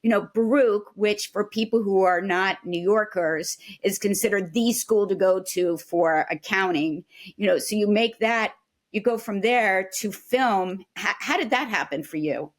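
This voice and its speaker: A woman's voice: medium pitch, unhurried. A woman hosting an interview podcast, asking questions in a casual, encouraging way with frequent affirming murmurs. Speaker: podcast host.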